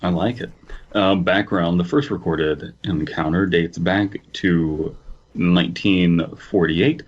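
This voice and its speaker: A man with a low, husky voice co-hosting a horror fiction podcast. He reads and chats haltingly, with fillers and giggles, and the sound is a bit dull and lo-fi. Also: fairly even intonation. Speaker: podcast co-host reading stories. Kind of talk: casual podcast chat and reading aloud.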